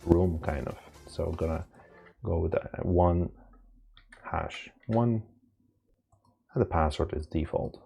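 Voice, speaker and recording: Deep, gravelly man explaining step by step. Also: mellow. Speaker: technical instructor. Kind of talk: unscripted step-by-step software tutorial.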